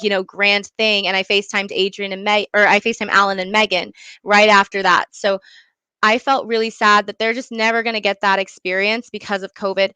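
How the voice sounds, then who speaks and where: Young woman chatting in an interview, crisp clear voice, says like and you know a lot, super dry audio. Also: mid-range voice, bright, forceful tone, no echo, poor audio. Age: young adult. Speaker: guest, young playwright and director. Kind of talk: casual interview storytelling.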